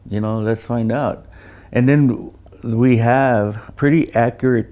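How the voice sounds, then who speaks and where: An older man who designs loudspeakers, talking casually about technical matters and often repeating words as he recounts things. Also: mid-range voice, steady tone. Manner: halting, repeats words, anecdotal.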